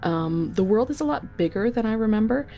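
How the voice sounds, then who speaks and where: Mellow-voiced woman playing a tabletop game. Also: a little high, expressive, brisk, a little hiss or hum. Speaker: guest tabletop game player. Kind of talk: casual in-game narration.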